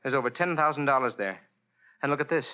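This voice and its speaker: Actor as troubled young amnesiac, muffled old radio. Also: male voice, medium pitch, animated, fast talker, lightly acted. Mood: earnest, uneasy. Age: young adult. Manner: hesitant, explanatory. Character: troubled young man with amnesia, earnest and anxious. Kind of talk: 1940s radio acting.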